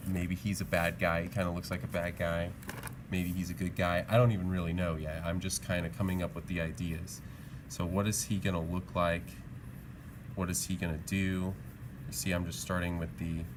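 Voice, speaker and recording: A low-pitched man in middle age gives an informal art lesson. He talks haltingly, rewording as he thinks aloud. There is clear ambient noise behind his voice. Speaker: art teacher. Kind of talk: informal instructional demonstration. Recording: clearly noisy background.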